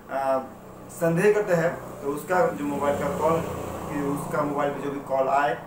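An Indian man hosting a casual tech tutorial, talking to viewers in a repetitive, pleading way. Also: fairly high pitch. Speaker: tech tutorial channel host. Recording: noisy amateur recording with background ambience.

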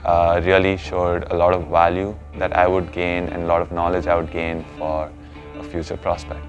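Young man, Indian accent, deep voice, student testimonial over clear music. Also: completely flat delivery, moderate pace, highs cut off. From Bangalore, India. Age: young adult. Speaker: university student. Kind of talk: promotional interview testimonial. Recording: music behind the voice.

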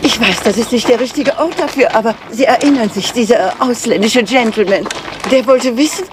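An actress playing a forthright, deferential and chatty household maid in a German radio crime drama. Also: female voice, a little high, moderate pace, heavy background noise, natural voice. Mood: confiding. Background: music.

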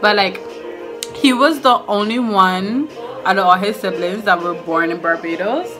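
A woman's voice: medium pitch, measured pace. A woman in her twenties from Trinidad chatting casually to the camera as she does her makeup. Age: 20s. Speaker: beauty vlogger. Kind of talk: casual chat to camera while doing makeup. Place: small indoor room with curtains and couch. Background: music playing.

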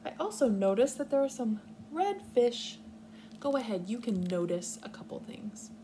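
A woman's voice: fairly high pitch, very animated, moderate pace, dark tone. Young American woman teaching, noisy recording. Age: young adult. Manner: instructive, simple wording with clear explanations. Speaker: kindergarten teacher. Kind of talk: read-aloud lesson for young children. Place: living room on a couch, indoors. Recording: clearly noisy home recording.

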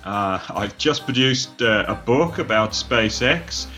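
A man's voice: mid-range voice, lively intonation, takes it slowly, pushed and bright, faint music behind. A British man from the United Kingdom, an author speaking as a podcast panelist, talking casually with frequent hesitations. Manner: hesitant, lots of "uh" fillers.

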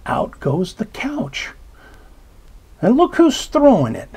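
A man reading a children's picture book aloud, slow and expressive, pausing to point out details in the pictures. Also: very high voice, breathy edge. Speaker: storybook reader. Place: indoors at a table.

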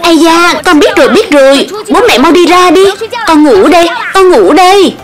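Woman voicing a high-pitched, headstrong little cartoon boy. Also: lively intonation, moderate pace. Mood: impatient. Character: headstrong, excitable little boy dreaming of heroism. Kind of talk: animated cartoon dub. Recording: music behind the dialogue.

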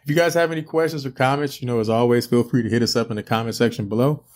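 A man in his thirties casually narrating a home cooking video, relaxed and rambling with frequent fillers. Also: mid-range voice, racing. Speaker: cooking channel host. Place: home kitchen.

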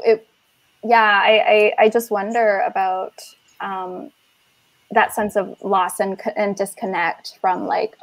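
A woman's voice: mid-range voice, vocal fry, no room sound, rough recording. A woman from Taiwan speaking thoughtfully on a video call, often restarting her sentences. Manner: thoughtful, hesitant with many restarts. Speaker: collective member. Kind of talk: casual panel chat on video call.

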